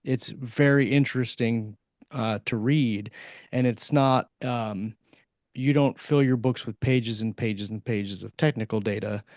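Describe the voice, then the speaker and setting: A man's voice: medium pitch, unhurried, darkish tone, no echo. A man co-hosting a podcast, chatting casually in an informal, rambling way. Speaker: podcast co-host and author.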